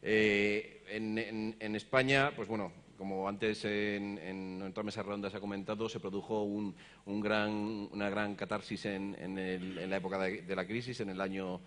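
A man's voice: on the low side, little pitch movement, moderate pace, a bit bright. A middle-aged man moderating a conference panel, explaining background in a hesitant way, with many repeated words and fillers. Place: conference stage, seated in an armchair.

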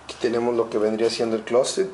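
A man giving a slow, methodical walkthrough of an empty home, listing its features room by room. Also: medium pitch, flat delivery, a little mellow, slight background noise. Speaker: home sales guide. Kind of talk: walkthrough narration.

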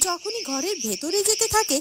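A woman's voice: very high-pitched, very animated, moderate pace, heavy background noise. A woman narrating a scripted fairy tale as a cartoon storyteller.

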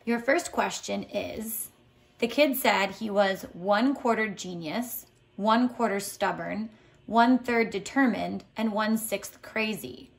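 A woman reading a picture book aloud as a teacher, expressive and deliberate, pausing to set tasks. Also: medium pitch, gravelly, rough recording. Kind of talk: expressive children's read-aloud with lesson prompts. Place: indoors at home, tiled floor.

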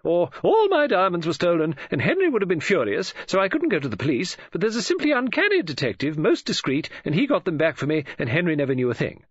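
Man as chatty middle-aged woman, audiobook, high-pitched, excitable, gushing, hugely animated swoops. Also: fast, hardly any pauses, breathy edge, no echo, muffled recording, heavily acted. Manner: gushing, exclamatory, swooping emphasis.

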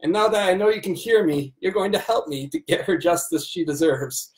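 A man's voice: high voice, fairly quick, reverberant, natural voice, rough recording. A man voicing a haughty, insulting male talking tabby cat in a dramatic book reading, a little back from the mic. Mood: dramatic, demanding. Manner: pompous, dramatic. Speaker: reader of the cat's part.

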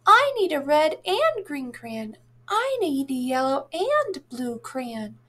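Woman putting on a high-pitched earnest young child. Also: very animated, slow, sharp tone, full character voice. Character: young children bargaining over crayons, earnest.

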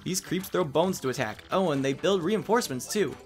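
A man's voice: fairly high pitch, animated, fairly quick, close to an everyday voice. A man reading the lines of a perky young female student sidekick in his own voice, matter-of-fact and informative. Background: game music.

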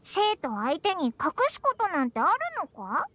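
A woman's voice: sits high, sing-song, moderate pace, fairly bright tone, dry recording. A woman voicing a tiny childlike fairy companion in an anime game, curious, blunt and cheeky. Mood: skeptical. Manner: cheeky, blunt, rough boyish phrasing. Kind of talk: Japanese anime game voice acting. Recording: game music behind.

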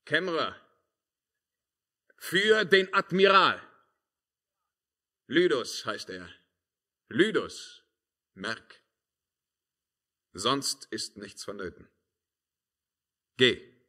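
A haunted, commanding emperor in a 1950s radio play. His delivery is slow and declamatory, swinging between grandeur and anguish, in a forceful, husky voice. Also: mid-range voice, expressive, exaggerated voice. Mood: solemn, commanding. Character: tormented Byzantine emperor, solemn, commanding, haunted. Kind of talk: 1950s German radio verse drama acting.

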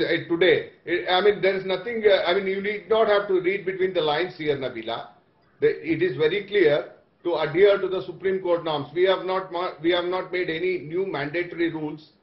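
A middle-aged man with an Indian accent, a party spokesperson defending his position in a TV debate with halting, wordy phrasing. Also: on the high side. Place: small room, indoors, on video call. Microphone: video call. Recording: echoey, dull video-call sound.

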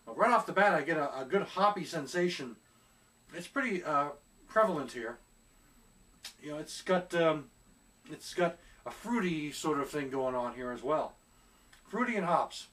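A middle-aged man reviewing a beer at home in a casual, chatty way, often pausing on fillers as he searches for words. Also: fairly high pitch, lively intonation, a bit bright, distant mic. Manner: chatty, hesitant with many fillers. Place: small room at home, seated indoors.

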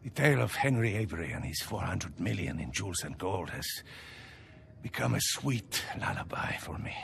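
An actor playing a middle-aged, menacing crime boss with a Latin American Spanish accent, gravelly, measured and quietly commanding, in a game cutscene. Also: male voice, low-pitched, animated, a little hiss or hum, exaggerated voice. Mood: philosophical, smooth menace. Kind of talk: dramatic video game cutscene acting.

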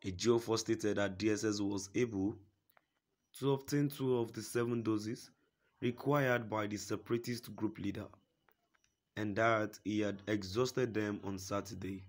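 Male news reader, low-ish voice, steady formal delivery. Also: unhurried. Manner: steady formal reading, quotes a statement at length. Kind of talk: read-aloud news report.

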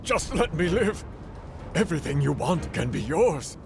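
High-voiced, scheming cowardly company president. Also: male voice, big pitch swings, vocal fry, over music, exaggerated voice. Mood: desperate pleading. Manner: theatrical, deliberate, manipulative. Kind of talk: dramatic video game cutscene acting.

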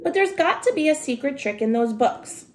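A woman's voice: high-pitched, animated, moderate pace, darkish tone, pitched above the performer's own voice. Woman acting skeptical storybook boy, raised voice. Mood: amazed. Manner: challenging, doubting. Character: skeptical, snickering young boy. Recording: roomy home recording with noticeable hiss.

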